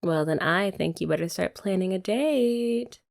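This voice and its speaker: A young woman in an ASMR girlfriend roleplay, talking softly and teasingly to the listener. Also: on the low side, unhurried, gravelly. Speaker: ASMR creator.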